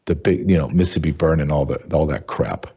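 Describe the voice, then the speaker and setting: A man's voice: rumbling low, lively intonation, fast talker, warm tone, husky, highs cut off. A man hosting his own talk show, speaking emphatically and often restarting and repeating words. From American South. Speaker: show host. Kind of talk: casual commentary monologue.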